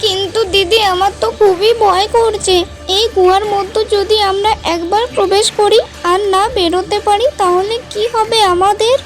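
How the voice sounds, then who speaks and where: A woman's voice: very high-pitched, steady tone, normal speed, faint music behind. A woman voicing a timid small boy in a fairy-tale cartoon, with an anxious, childlike way of talking. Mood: fearful. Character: timid little brother, a small village boy.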